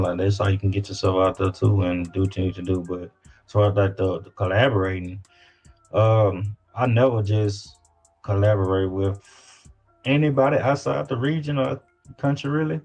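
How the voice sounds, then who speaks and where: Man, deep-ish voice, Southern US accent, informal, slangy, repetitive phrasing, casual interview. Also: a little mellow. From Louisiana. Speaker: independent rapper guest.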